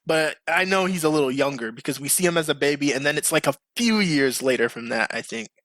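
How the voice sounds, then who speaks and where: A man with a slightly high voice hosts a casual podcast chat, recorded dry and close. He speaks in a slangy, opinionated way. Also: animated, fast, a bit bright, no room sound. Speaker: podcast host.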